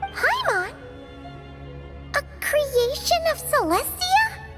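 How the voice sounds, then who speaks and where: A woman voicing a small, excitable, naive childlike companion creature in a video game cutscene, with a squeaky voice. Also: extremely slow, heavily acted. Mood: confused, disbelieving. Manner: refers to self in third person, exclamatory. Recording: background music throughout.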